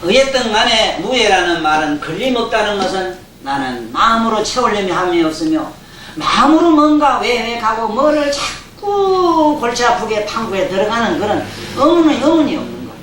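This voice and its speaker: A man's voice: sits high, sing-song, moderate pace, a bit bright. An older man with a Gyeongsang accent giving a Buddhist dharma lecture, folksy and joking, often asking his listeners questions. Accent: Gyeongsang dialect. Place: lecture hall. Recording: roomy hall, distant mic, faint hiss.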